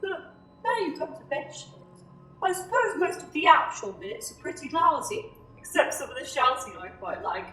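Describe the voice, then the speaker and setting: A woman playing a dutiful, dim young alien guard given to shouting, in a comic amateur radio drama. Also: sits high, big pitch swings, soft background music. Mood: unsure, pondering. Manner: bellowed catchphrases, halting reflective answers. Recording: roomy echo with clear background noise.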